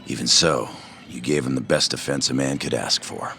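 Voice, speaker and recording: An extremely deep, gravelly bitter gangster in a crime game. He sounds gruff and resentful but loyal, with music clearly behind him. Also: fairly even intonation, normal speed, bright, forceful tone, exaggerated voice. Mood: regretful. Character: bitter, loyal low-voiced yakuza underling, man. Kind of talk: dramatic English game voice acting.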